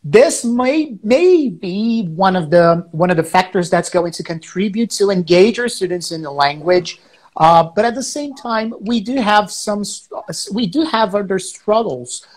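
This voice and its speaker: A man's voice: high voice, animated, unhurried. Brazilian man explaining, filler-heavy. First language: Portuguese. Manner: hesitant, many fillers, explanatory. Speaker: podcast co-host, English teacher. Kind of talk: casual educational chat.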